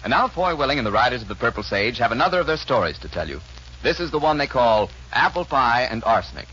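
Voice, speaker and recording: Animated male vintage radio announcer. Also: medium pitch, fast. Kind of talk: 1940s radio scripted narration. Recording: dull 1940s radio recording, faint music.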